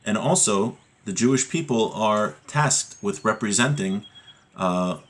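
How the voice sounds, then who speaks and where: Low, husky man teaching religion. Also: expressive, measured pace, a bit bright. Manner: explanatory, with rhetorical questions and check-in tags. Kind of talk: religious text lesson with explanations.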